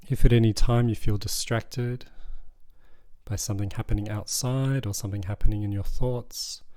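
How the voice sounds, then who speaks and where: A man leading a guided meditation in a calm, gentle, soothing manner, leaving unhurried pauses between instructions. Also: lowish voice, dry recording, studio quality. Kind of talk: guided body scan meditation.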